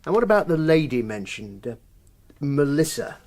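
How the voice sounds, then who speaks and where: Sceptical detective, varied intonation. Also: male voice, fairly high pitch, very animated. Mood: sceptical, probing. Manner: measured, questioning, quietly doubtful. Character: probing, sceptical police detective inspector, measured and dry. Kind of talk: naturalistic radio drama acting.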